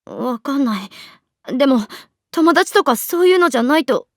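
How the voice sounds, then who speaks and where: A woman's voice: sits high, lively intonation, normal speed, no room sound, clean studio sound. An actress playing a vulnerable, earnest teenage schoolgirl in a Japanese audio drama, with a hesitant, emotionally raw delivery. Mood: earnest, overwhelmed. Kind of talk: Japanese anime-style audio drama acting.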